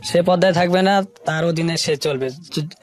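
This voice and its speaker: Higher-voiced Bangladeshi man, halting storyteller. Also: steady tone, faint music behind. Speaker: radio show guest telling life story. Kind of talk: personal storytelling in an interview.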